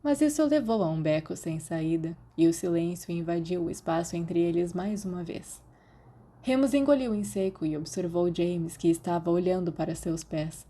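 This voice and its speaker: Female audiobook narrator, Brazilian, very mellow tone on the lower side, for scripted fiction. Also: normal speed. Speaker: podfic narrator.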